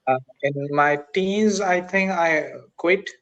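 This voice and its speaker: A man from Pakistan with a Pakistani accent, an English teacher chatting politely on a video call from a school, with frequent pauses and fillers. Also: high-pitched, fairly bright tone, highs cut off. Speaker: English teacher, channel host. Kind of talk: casual video-call conversation. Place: school, indoors.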